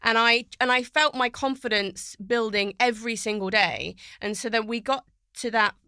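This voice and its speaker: A woman's voice: fairly high pitch, moderate pace, bright, forceful tone, clear, resonant tone, no echo, studio quality. A woman guest on a podcast, a poet and TV writer, telling her story in a fluent, reflective way. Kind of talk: casual podcast conversation. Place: recording studio.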